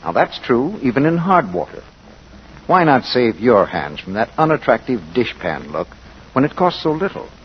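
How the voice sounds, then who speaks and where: A man's voice: medium pitch, very animated, moderate pace. A man announcing a scripted 1940s radio advertisement with persuasive, neighborly sales patter. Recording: muffled, noisy 1940s radio broadcast.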